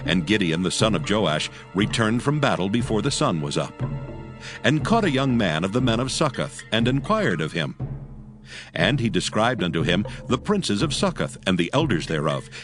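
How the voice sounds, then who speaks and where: A man with a lowish, expressive voice narrates ancient scripture. His delivery is solemn and formal, in the manner of an old storyteller, with music playing clearly behind him. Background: clear background music.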